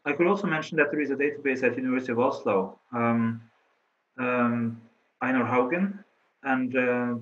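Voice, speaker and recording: A middle-aged man with a Norwegian accent, a researcher answering questions in an online talk, halting with many fillers and restarts. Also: mid-range voice, fairly even intonation, a bit bright. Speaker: presenting researcher. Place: office room indoors. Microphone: laptop webcam on a video call. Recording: slightly dull video call audio.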